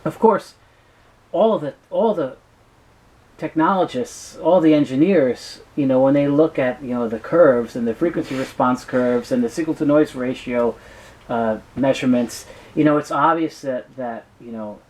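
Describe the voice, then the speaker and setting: A man being interviewed, talking casually and explaining things in a halting way with frequent restarts. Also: mid-range voice, darkish tone, rough recording. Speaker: business founder being interviewed.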